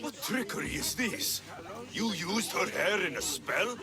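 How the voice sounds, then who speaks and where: High-voiced, blunt mercenary man. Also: sing-song, measured pace, heavily acted. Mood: indignant. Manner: blunt, indignant, dry. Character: gruff, sceptical male mercenary hero, blunt and impatient. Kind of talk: video game cutscene acting.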